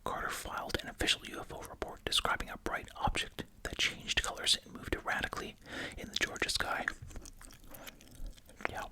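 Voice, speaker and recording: An ASMR host whispers right up at the microphone in a breathy, unvoiced voice. The delivery mixes reading aloud with casual chatty asides, with gum chewing through the words. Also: fairly quick, poor audio. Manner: chewing gum while talking.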